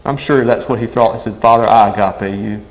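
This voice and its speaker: A man's voice: fairly low pitch, steady tone, a little fast, rough recording. A man preaching a sermon, earnest and emphatic, often asking his listeners "right?".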